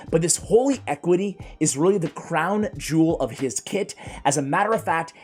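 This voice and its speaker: A man reviewing video game characters in a casual, energetic way, his voice strained and worn from a cold. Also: fairly high pitch, breathy edge. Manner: energetic, chatty, keeps addressing viewers as guys. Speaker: gaming YouTuber.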